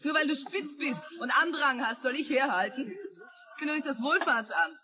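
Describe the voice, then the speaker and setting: An actress in a radio play voicing a sharp-tongued, mocking woman who fends off a suitor with dry retorts. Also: sits high, animated, normal speed, a crowd in the background. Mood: mocking, dismissive.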